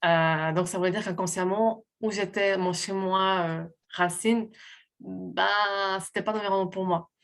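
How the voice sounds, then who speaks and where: A woman from Portugal, a guest, sharing a sincere personal testimony while pausing and searching for her words. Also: mid-range voice, steady tone, fairly bright tone.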